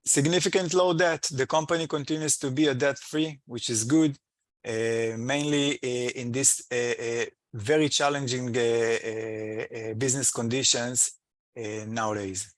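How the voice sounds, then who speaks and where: A man presenting company financial results as an executive on an earnings call, in a halting, hesitant delivery with slightly non-native phrasing. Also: mid-range voice, steady tone, no room sound, clean studio sound.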